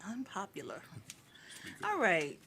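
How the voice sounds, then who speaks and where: A woman's voice: medium pitch, wildly swooping pitch, slow, gravelly, a bit bright, a little hiss or hum. A woman co-hosting a casual podcast, talking frankly and leading the questions. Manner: frank, conversational, leads the questions. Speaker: podcast co-host.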